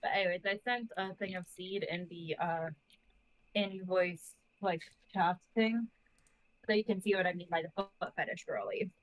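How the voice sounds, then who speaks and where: A woman's voice: lowish voice, steady tone, moderate pace, no echo, low-quality recording. A woman chatting casually as a player in a tabletop game, speaking in a blunt, emphatic way. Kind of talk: casual chat.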